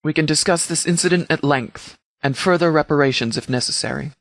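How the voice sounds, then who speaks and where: An actor playing a commanding post-apocalyptic sheriff in a video game, speaking in a clipped, formal, authoritative way. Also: male voice, a little high, brisk, vocal fry, a bit bright, no room sound. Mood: urgent, firm.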